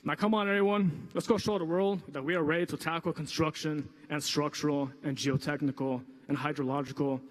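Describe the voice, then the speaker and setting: A man's voice: a little high, fairly even intonation, brisk, hardly any pauses. A male student speaker giving a rousing graduation speech, rallying his classmates with enthusiasm. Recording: reverberant hall.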